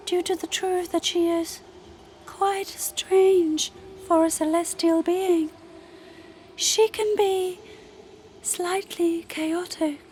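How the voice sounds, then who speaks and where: Woman as a very high, slow, solemn moon spirit. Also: mellow, slight background noise. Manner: formal, measured, instructing. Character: gentle, solemn celestial moon spirit, elder sister. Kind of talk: fantasy audio drama acting. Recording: music bed under voice.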